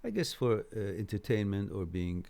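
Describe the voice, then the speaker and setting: A middle-aged man, a native speaker of Farsi, hosts a live Q&A in an informal lecturing style. His voice sits low and has a marked gravelly fry. He explains things haltingly, pausing as he goes. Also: big pitch swings, warm tone. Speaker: host of a live mental health Q&A. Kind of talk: informal live lecture, answering viewer messages.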